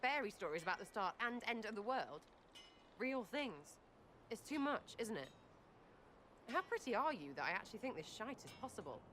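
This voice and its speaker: Young elf rogue, cheeky, blunt, swooping lively pitch, faint game ambience behind. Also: female voice, normal speed, slight background noise. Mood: agitated, incredulous. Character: brash, irreverent young female elf archer, cheeky commoner. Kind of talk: video game character acting.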